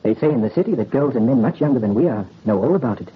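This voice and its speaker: An actor playing an anguished, gentle young nobleman in 1930s radio melodrama, with a theatrical, impassioned delivery and a husky voice. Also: mid-range voice, animated, very fast. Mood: tender, shy. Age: young adult. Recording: muffled old radio recording.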